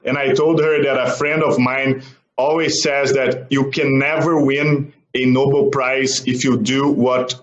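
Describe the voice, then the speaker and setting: A man's voice: medium pitch, flat delivery, unhurried. A man interviewing a guest, enthusiastic and searching for his words as he asks. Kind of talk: conversational interview questions. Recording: roomy, dull lo-fi sound.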